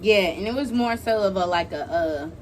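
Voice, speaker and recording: A woman's voice: medium pitch, expressive, normal speed, slight background noise. A young adult woman telling a casual, gossipy story in informal slang, acting out what people said. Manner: informal, slangy, gossipy retelling with acted-out quotes. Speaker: online video creator telling a story.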